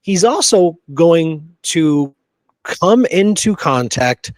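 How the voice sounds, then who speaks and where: A man's voice: on the high side, very animated, slow pace, no room sound. A man co-hosting a podcast in casual, slangy conversation, agreeable and informal. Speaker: podcast co-host. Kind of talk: casual chat.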